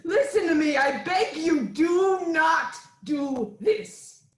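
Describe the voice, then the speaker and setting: A woman acting an anguished, imploring queen in a theatrical reading of Greek tragedy, in a dramatic drawn-out delivery. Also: extremely high pitch. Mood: desperate pleading. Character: anguished, pleading queen of ancient Thebes, mother and wife. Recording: echoey room with noise.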